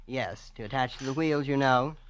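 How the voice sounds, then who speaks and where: A man's voice: mid-range voice, moderate pace, fairly bright tone, slight background noise, natural voice. A man acting a young, rich heir in a radio drama, boastful in a breezy, nonchalant way. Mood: breezy, smug. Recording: dull vintage radio recording.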